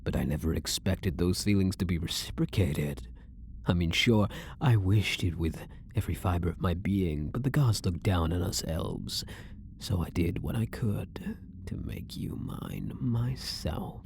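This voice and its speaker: Man playing a slow, low-voiced, seductive elf prisoner. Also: vocal fry, background noise. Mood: obsessive, adoring. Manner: teasing, slow, lilting delivery dropping into whispers. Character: possessive, seductive male elf prisoner, coy and obsessively devoted. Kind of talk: intimate ASMR roleplay acting.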